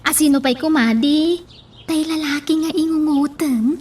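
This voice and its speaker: Playful matchmaking pal, female actor, radio romance, high slow voice, a little off mic. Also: a little mellow, far from the mic, slight background noise, natural voice. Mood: playful, teasing. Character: teasing, playful female friend and coworker. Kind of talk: radio drama acting.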